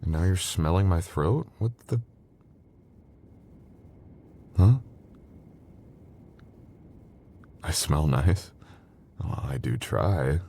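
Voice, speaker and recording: Man playing a very deep, roguish sorcerer boyfriend. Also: lively intonation, a little slow, mellow, a little hiss or hum, full character voice. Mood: concerned, puzzled. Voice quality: very deep, gravelly.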